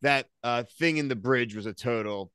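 A man's voice: mid-range voice, normal speed, a bit bright, smooth, dry recording. An American man in his thirties chatting casually as a podcast co-host, with slangy, opinionated recaps. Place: small home room, on a video call.

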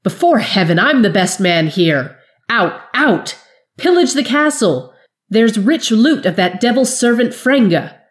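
A woman voicing a fierce, proud warrior woman who shouts her defiance. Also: fairly low pitch, slow. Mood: commanding.

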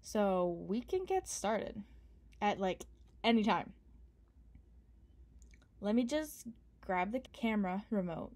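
A woman's voice: mid-range voice, big pitch swings, takes it slowly, pauses often, darkish tone. A young woman doing an ASMR roleplay as a partner, playful, affectionate and teasing. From Canada. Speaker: ASMR performer.

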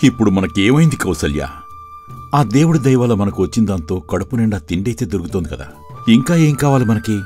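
A man acts a devout, humble temple priest in a storybook cartoon, respectful and pleading. The voice is fairly low and very animated in pitch, with music underneath. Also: brisk. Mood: reassuring.

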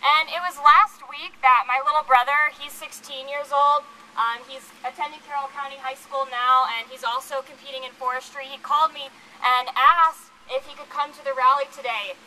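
A woman's voice: high-pitched, steady tone, normal speed, few pauses, sharp tone, rough recording. A young woman from Virginia giving an informal, earnest, somewhat hesitant speech through a megaphone at an outdoor rally. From Virginia, United States. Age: young adult. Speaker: student rally speaker. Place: outdoors on a campus lawn. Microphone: handheld megaphone. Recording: megaphone outdoors, faint ambient noise.

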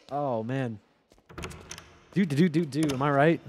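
A young man in his twenties streaming a horror game from home on a headset mic, joking loudly and swearing as he talks to chat. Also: mid-range voice, slight background noise. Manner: loud, swearing, joking, animated. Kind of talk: casual gameplay commentary with chat. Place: home gaming room, indoors.

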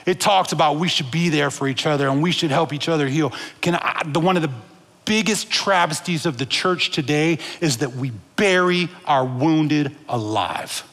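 A man's voice: a little high, moderate pace, a bit bright. A man in his thirties preaching a sermon on a church stage through a headset mic, moving and gesturing as he calls out to the congregation. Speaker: pastor. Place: large church stage with blue lights. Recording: long hall reverb of a church auditorium.

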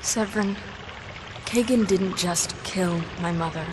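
A woman's voice: fairly low pitch, a little slow, close to an everyday voice. Woman playing a sardonic vampire heroine, noisy backdrop. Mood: grim. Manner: sardonic, cocky. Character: sassy, defiant young half-vampire woman fighter. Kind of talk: video game acting. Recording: noisy game ambience behind voice.

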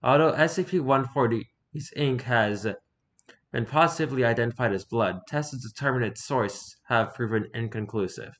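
Male streamer, mid-range voice, reads creepy fiction aloud with halting delivery and self-corrections. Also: medium pitch, fairly bright tone. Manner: stumbles over words, self-correcting. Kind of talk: scripted narration read aloud, halting.

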